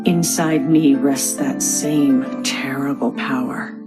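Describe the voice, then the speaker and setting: An actress playing a grave, burdened mother in a game cutscene, telling her story solemnly and deliberately. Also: very deep voice, steady tone, over loud music. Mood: grave, confessional. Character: grave, burdened mother and former academy researcher. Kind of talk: video game cutscene acting.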